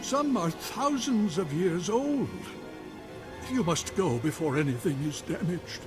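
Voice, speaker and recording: A man's voice: high-pitched, big pitch swings, measured pace. A man playing a fussy, anxious elderly museum curator in a video game, prim, fretful and dramatic. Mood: indignant, protesting. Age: older. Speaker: character actor in a video game. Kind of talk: scripted game dialogue. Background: music.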